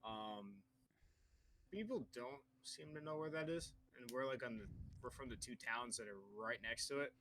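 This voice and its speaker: A man's voice: medium pitch, animated, moderate pace, a bit bright. A young man from Massachusetts co-hosting a podcast, telling easygoing stories and acting out bits of dialogue. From Massachusetts, USA. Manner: easygoing storyteller, acts out dialogue. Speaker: podcast co-host. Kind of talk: casual chat. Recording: slightly tinny mic with faint noise.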